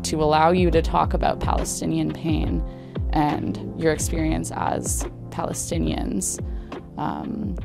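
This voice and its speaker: Low, gravelly woman hosting a talk. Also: a little mellow. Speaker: host. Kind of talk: event opening. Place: studio.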